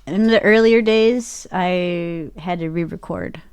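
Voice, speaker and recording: Female podcast guest, slightly low voice, very dry close recording, conversational delivery. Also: a little slow, darkish tone, clear, resonant tone, no room sound, muffled recording. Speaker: interview guest, voice actor and podcaster. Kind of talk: casual interview chat. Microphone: dynamic podcast mic in a production room.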